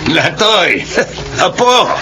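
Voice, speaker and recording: A man's voice: high-pitched, big pitch swings, drawn-out words, background noise, full character voice. An actor playing an affectionate elderly Filipino grandfather in a radio drama. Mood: affectionate, hesitant. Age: older.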